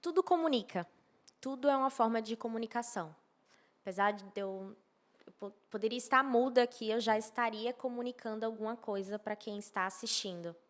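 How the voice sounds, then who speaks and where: A fairly high-pitched woman speaks. She explains her points in a conversational podcast style, stressing and repeating key phrases as she goes. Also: brisk. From Maceió, northeastern Brazil. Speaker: podcast guest, architecture and social media professional.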